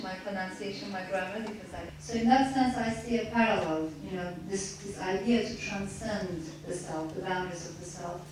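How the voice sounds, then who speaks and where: A woman reads aloud and then talks in a measured, reflective way, pausing now and then to restart a thought. She speaks at a middle pitch. She is caught from a distance in a very echoey lecture room with a little background noise. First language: Turkish. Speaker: author at a reading. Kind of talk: reading aloud then answering questions.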